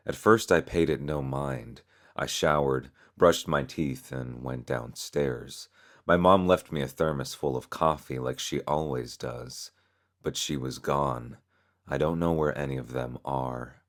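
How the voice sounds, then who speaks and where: A man reading scripted story narration as a narrator. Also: very deep voice.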